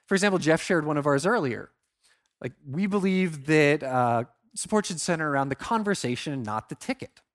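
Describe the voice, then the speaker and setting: Guy with a slightly high voice doing a product talk on stage, informal, stresses his main points. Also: lively intonation, a little fast. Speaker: conference speaker, product marketer. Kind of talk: conference talk, conversational presenting.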